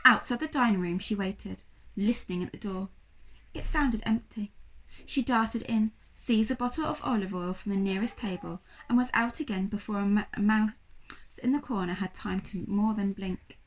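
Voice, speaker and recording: A woman reading a children's story aloud like a primary school teacher, with expressive, dramatic narration. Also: mid-range voice, normal speed, poor audio.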